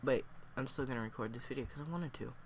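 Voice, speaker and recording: A man with a cold, sniffling and hoarse, reviewing a story in a casual, rambling way full of fillers. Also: mid-range voice, fast, slight background noise. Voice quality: hoarse, worn voice.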